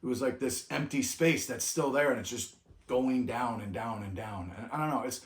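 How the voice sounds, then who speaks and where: A man's voice: medium pitch, normal speed. Rambling man in an echoey room. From California. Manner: rambling, with many restarts and trailing off. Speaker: vlog co-host. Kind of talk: reflective casual chat.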